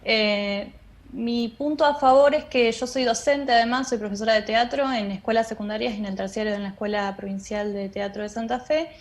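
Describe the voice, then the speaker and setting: A woman's voice: fairly high pitch, steady tone, moderate pace. A woman with an Argentine accent explaining things as a guest in an interview. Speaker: interview guest, theatre director and drama teacher.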